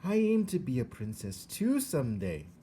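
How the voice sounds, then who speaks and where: Man voicing naive little servant girl, pitched way up. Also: huge pitch swings, moderate pace, mellow, slight background noise. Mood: delighted. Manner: rustic, ungrammatical, exclaiming speech. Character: hard-of-hearing, naive, hopeful twelve-year-old servant girl. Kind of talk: storybook character reading.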